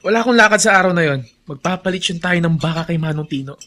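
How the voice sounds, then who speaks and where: A man's voice: a little high, fast talker, bright, forceful tone. A man playing a jovial, generous hacienda owner in a Filipino radio drama, speaking heartily with English words mixed in. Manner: hearty, Taglish-peppered.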